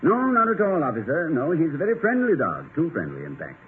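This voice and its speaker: An actor playing an erudite, flustered professor husband in 1950s radio comedy, wordy and stammering with exasperated politeness. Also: male voice, fairly high pitch, wildly swooping pitch, fast talker. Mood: polite, apologetic. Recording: tinny, noisy 1950 radio recording.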